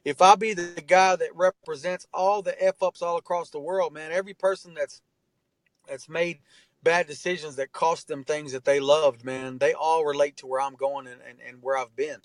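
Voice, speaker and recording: Man, around forty, earnest, heartfelt, slightly high voice, dry close sound. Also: fairly even intonation, moderate pace, no room sound. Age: 40s. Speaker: interview guest, artist and tattoo artist. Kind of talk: candid conversational interview.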